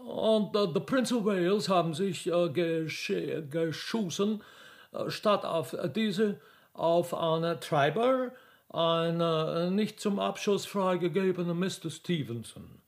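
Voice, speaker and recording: A pompous English diplomat in a comic radio play. The voice sits high and moves slowly, with an English accent, fumbling fussily from word to word. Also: male voice. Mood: flustered, pompous. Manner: halting, fussy, searching for words. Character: bumbling pompous English envoy mangling German with English words.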